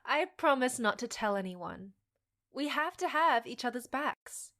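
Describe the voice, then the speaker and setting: A woman's voice: sits high, animated, a little slow, a bit bright, exaggerated voice. An actress playing a frank, savvy young half-fae woman, teasing yet supportive, with a wry conversational manner in an audio drama. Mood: reassuring.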